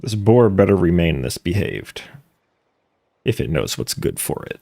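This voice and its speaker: Deep, gravelly male game streamer. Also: very animated, unhurried, mellow. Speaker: live streamer. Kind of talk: casual playthrough commentary.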